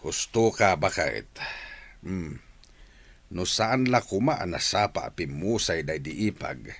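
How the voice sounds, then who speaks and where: A male actor as an Ilocano family patriarch in a village radio drama. His voice is very low and swings widely, fit for an easily exasperated father. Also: expressive, measured pace, fairly bright tone, dry recording, heavily acted. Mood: grave, concerned. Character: exasperated village father, older brother and husband.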